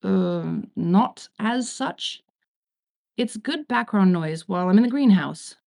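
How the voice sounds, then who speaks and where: A woman's voice: mid-range voice, expressive, slow, gravelly, a little mellow. A woman voicing a sardonic, laid-back male demon in a story reading, with a casual, smug delivery. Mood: evasive.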